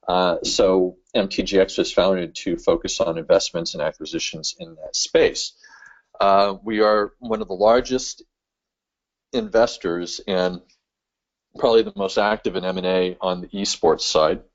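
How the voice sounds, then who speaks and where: Low-voiced man, halting webinar talk. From San Francisco, California. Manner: halting, many fillers and restarts. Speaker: webinar speaker, games executive. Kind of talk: casual presentation with slides. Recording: dull lo-fi webinar audio.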